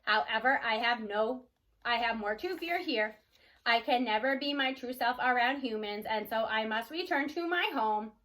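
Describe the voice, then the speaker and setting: A woman voicing a gentle, patient female robot in a storybook, speaking in a formal, measured manner. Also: a little high. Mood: earnest, regretful.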